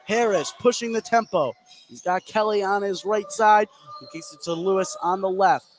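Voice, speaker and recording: A man doing live play-by-play radio commentary of a basketball game, lifting his voice on big plays. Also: moderate pace. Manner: voice rises into shouts on big plays. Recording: clear gym noise behind the voice. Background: indoor high school gymnasium ambience.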